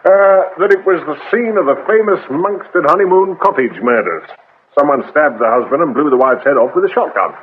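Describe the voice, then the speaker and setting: An actor playing a jovial, teasing English police officer in a radio drama, chatty and fond of gossip. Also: male voice, a little high, expressive, brisk, close to an everyday voice. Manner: jovial, chatty, old-boy turns of phrase. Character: breezy, teasing, gossipy male police colleague. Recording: muffled lo-fi radio recording with hiss.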